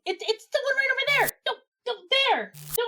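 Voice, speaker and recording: A woman with a very high voice performs in a comic audio skit. She sounds exasperated and animated, like someone at the end of her patience. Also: fairly quick. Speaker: podcast regular playing herself in a comedy skit. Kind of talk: comedic audio drama acting.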